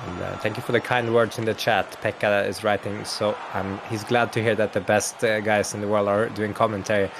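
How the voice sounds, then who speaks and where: A man's voice: fairly low pitch, level tone, a little fast, hardly any pauses, background noise. Man commentating an esports hockey game. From Sweden. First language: Swedish. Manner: chatty, laughs often, reads out chat. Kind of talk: play-by-play commentary.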